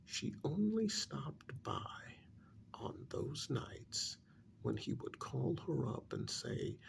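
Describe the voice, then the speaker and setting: A man playing a calm, probing psychiatrist in an ASMR role-play, speaking softly and breathily with measured pauses. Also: fairly high pitch, heavily acted. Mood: confiding, measured. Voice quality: breathy, half-whispered soft speech. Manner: slow, deliberate, measured with pauses. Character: calm, probing male psychiatrist counseling a supernatural couple.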